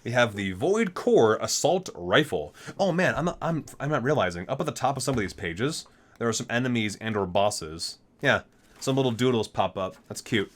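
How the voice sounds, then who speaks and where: An ASMR host, a man with a medium-pitched voice and very lively intonation. He alternates between reading aloud and chatty, casual asides, sounding like an enthusiastic geek. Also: normal speed.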